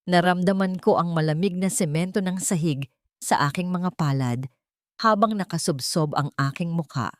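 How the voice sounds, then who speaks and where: A woman narrating a scripted romance drama in an emotive, drawn-out storytelling manner. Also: fairly low pitch, no echo, studio quality.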